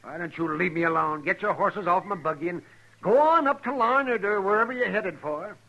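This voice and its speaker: Weary frontier doctor, American, sharp highish voice, brooding and testy, old radio drama. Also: male voice, brisk, dull audio, heavily acted. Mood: bitter, testy. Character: weary, bitter, self-blaming frontier town doctor. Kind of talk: 1950s radio western acting. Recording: faintly noisy old radio recording.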